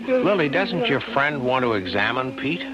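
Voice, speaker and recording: An actor playing a concerned, skeptical ship's doctor who also narrates the story in a radio drama. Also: male voice, medium pitch, very animated, moderate pace, natural voice. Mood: concerned, dry. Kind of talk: radio drama acting and first-person narration. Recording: muffled vintage radio recording, music behind.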